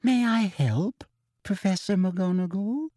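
A sinister older woman official with a sickly sweet simper. The voice is breathy and lilts up and down with false politeness. It is smug storybook villainy, recorded dry. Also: mid-range voice, lively intonation, takes it slowly, mellow, no echo, pitched above the performer's own voice. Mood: falsely sweet. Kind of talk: audiobook character acting.

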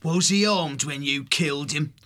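A man voicing a defiant, cunning sea rat corsair captain in a storybook, hard-edged and creaky, with taunting pirate speech. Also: on the high side, moderate pace, sharp tone, pitched above the performer's own voice. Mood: coldly guarded.

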